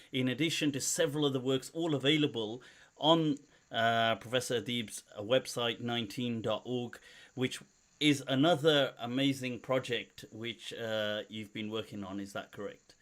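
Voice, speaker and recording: A man's voice: mid-range voice, a bit bright. A man hosting an interview, introducing his guest in a friendly way, laughing easily and using many fillers. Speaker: host.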